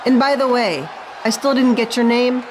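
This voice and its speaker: A woman's voice: on the high side, normal speed, crowd noise, background noise, close to an everyday voice. A woman playing a friendly, gracious veteran wrestling star in a video game cutscene. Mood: firm, conciliatory.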